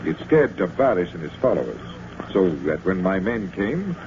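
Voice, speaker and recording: A man playing a confident, calm and politely wry magician adventurer in an old radio drama. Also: deep voice, normal speed. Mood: genial explaining. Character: unflappable, confident male stage magician and adventurer. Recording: muffled, hissy old radio recording.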